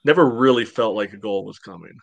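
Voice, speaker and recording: A man's voice: on the low side, brisk, creaky. A man speaking as a panelist in a casual sports podcast discussion.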